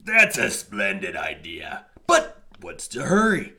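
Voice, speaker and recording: Big bad wolf: deep, growling, boastful schemer with a gravelly edge, played by a man. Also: wildly swooping pitch, takes it slowly, pushed and bright, heavily acted. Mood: sly.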